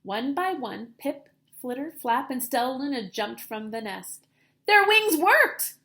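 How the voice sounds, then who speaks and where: A woman teacher reading a picture book aloud to children in an expressive, dramatic storytelling style. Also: a little high, measured pace, creaky.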